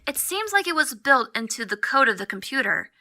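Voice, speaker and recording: A woman playing a confident, teasing young hacker sidekick who explains things briskly in an audio drama. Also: high-pitched, very animated, pushed and bright, soft background music, heavily acted. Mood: confident, explaining. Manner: brisk, explanatory, self-assured. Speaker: voice actor.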